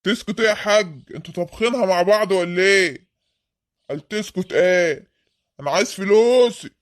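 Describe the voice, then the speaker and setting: A man acts out an impatient little Egyptian grouch from a comic cartoon. His voice is high and closely recorded with no room sound, and he complains in a pushy, confrontational way. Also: fast talker, dry recording, clean studio sound, close to an everyday voice. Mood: angry, demanding. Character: grumpy, impatient, demanding flea neighbour.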